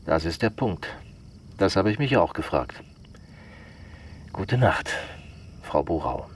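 Low-voiced, dry police investigator. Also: male voice, animated, slight background noise, exaggerated voice. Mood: sly, insinuating. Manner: measured, matter-of-fact, slyly courteous. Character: dry, politely insinuating male police investigator. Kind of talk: naturalistic radio drama dialogue.

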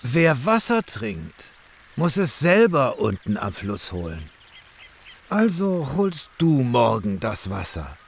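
A man's voice: mid-range voice, huge pitch swings, slow, vocal fry, a little hiss or hum, heavily acted. A man playing a solitary, stubborn monk in a children's radio play, with a savoring, emphatic delivery. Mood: grudging. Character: solitary Buddhist monk, content but grudging and stubborn.

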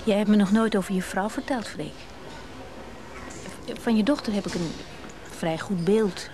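A woman's voice: mid-range voice, measured pace, close to an everyday voice. An actress playing an eager, clingy, slightly spoiled woman in a radio play, direct and pleading. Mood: probing. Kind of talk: naturalistic Dutch radio drama acting.

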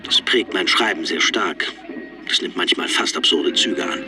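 A man's voice: low voice, expressive, moderate pace. A man reading first-person quotes as a voice-over in a radio documentary. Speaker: voice-over speaker of translated quotes. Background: music.

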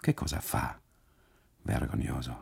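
A man's voice: extremely low pitch, measured pace, gravelly, husky, voice pushed down, heavily acted. A man voicing a suspicious, scolding Italian custodian in a radio play, pointed and insistent in his reproaches. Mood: urgent, disapproving.

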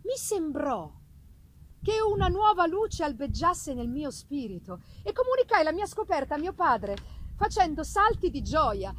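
A woman giving a dramatic, theatrical reading of literary narration, with deliberate phrasing and pauses. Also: high-pitched, big pitch swings, poor audio. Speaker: reader of a literary audiobook. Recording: live reading with noticeable background noise.

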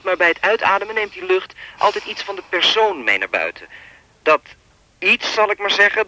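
Sinister phone stalker, radio play, pompous, controlling, animated intonation, dry close sound. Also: male voice, high-pitched, dry recording, exaggerated voice, poor audio. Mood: smug, musing. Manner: pompous, wordy, coolly controlling. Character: sinister, persistent male phone stalker, smugly philosophising. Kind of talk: Dutch radio play acting.

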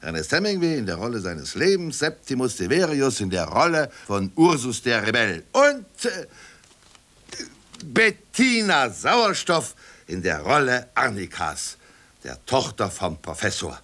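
Wildly swooping radio announcer. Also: male voice, mid-range voice, huge pitch swings, a little slow, bright, forceful tone, heavily acted. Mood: grand, formal. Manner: theatrical, grumbling asides. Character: muttering male radio announcer, pompous on air. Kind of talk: comic radio play announcing.

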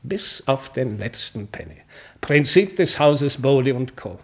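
An actor playing a self-important rich gentleman, pompous, clipped and declamatory, in a 1950s German radio drama. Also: medium pitch, expressive, unhurried. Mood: self-righteous.

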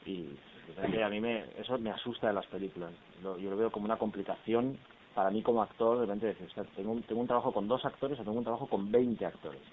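Deep-voiced man, hissy phone-like recording. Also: fast. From Iberian Peninsula. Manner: rambling, full of tags and quoted asides. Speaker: film actor at a press conference. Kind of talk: casual, colloquial press conference talk. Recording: muffled, hissy phone-like audio.

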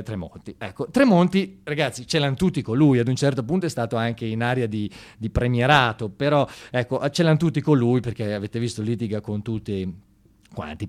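Ironic man doing satirical stage monologue. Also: lowish voice. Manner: ironic mock praise, halting and colloquial, bursts into excited raised voice. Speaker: monologue performer in a satirical theatre show.

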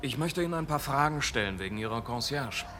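An actor playing a methodical police inspector who calmly and patiently questions witnesses in a radio drama. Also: male voice, mid-range voice, a little fast, faint music behind.

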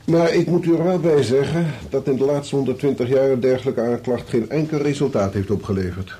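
An actor playing a courteous, sober senior lawyer in a radio play, speaking formally and in a measured way. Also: male voice, mid-range voice, warm tone, slight background noise, lightly acted. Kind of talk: Dutch radio play acting.

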